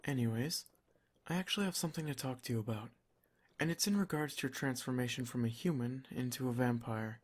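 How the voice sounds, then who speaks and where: Gentle, courteous vampire lord, acted by a man. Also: medium pitch, a little fast, natural voice. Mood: grave, concerned. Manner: soft-spoken, formal, reassuring. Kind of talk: ASMR roleplay acting.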